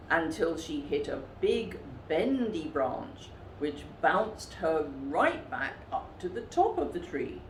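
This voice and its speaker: A woman storyteller narrating a children's picture book in a dramatic, measured, expressive way. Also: sits low, roomy sound, slight background noise, low-quality recording.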